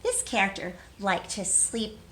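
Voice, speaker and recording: A woman's voice: mid-range voice, wildly swooping pitch, a little slow, fairly bright tone, a little hiss or hum. A woman teacher leading a read-aloud lesson for young children in simple, encouraging language, asking questions and answering them herself.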